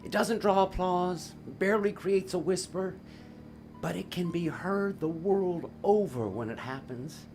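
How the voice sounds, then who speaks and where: A man playing an earnest, remorseful father and stage magician in an audio drama, speaking in a halting way. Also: sits high, darkish tone, faint music behind. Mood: tender, earnest.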